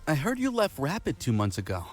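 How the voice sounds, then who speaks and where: An actor playing a composed, businesslike young game producer who leads a team, in visual novel style. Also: male voice, fairly high pitch, huge pitch swings, a little fast, faint music behind. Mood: cool, measured.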